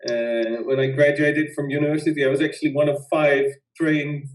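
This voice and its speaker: A man in his forties from the Netherlands with a Dutch accent, a winemaker answering interview questions in a relaxed way with light chuckles. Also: fairly high pitch, moderate pace, far from the mic. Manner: relaxed, chuckles between phrases. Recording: roomy sound from away from the mic.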